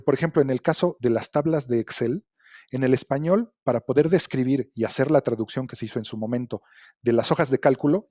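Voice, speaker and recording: A middle-aged man giving an academic lecture, measured and explanatory, often checking in with "¿no?". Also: medium pitch, mellow, no echo, studio quality. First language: Spanish. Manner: measured, explanatory, with frequent fillers and "¿no?" tags.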